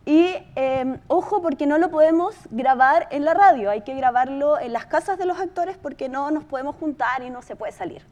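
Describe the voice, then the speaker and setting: High-voiced Chilean woman giving a talk. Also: normal speed, few pauses, a little mellow. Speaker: podcast producer giving a talk. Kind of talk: conversational presentation telling a production story.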